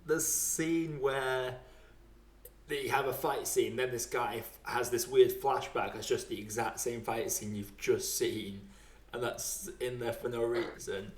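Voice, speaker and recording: A young man hosts a film podcast in a loose, chatty way. His voice sits at an average male pitch, and he is informal and quick to chuckle. The recording is noticeably echoey, like an untreated room. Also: steady tone. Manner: chuckles often while talking, informal swearing. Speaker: podcast host and film reviewer. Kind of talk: casual podcast chat.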